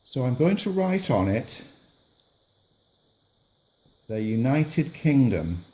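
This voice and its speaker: Slow, patient man teaching children. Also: mid-range voice, very animated. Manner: patient, gentle, narrates while drawing on a map. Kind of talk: slow explanatory lesson for children.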